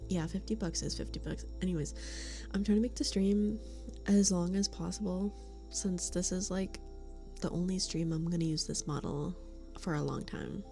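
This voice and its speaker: A woman's voice: on the low side, normal speed, vocal fry, warm tone, soft background music. A woman streamer chatting casually with her live chat, giggling often and sometimes dropping to a whisper. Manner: giggly, laughs often between remarks. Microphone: studio condenser mic.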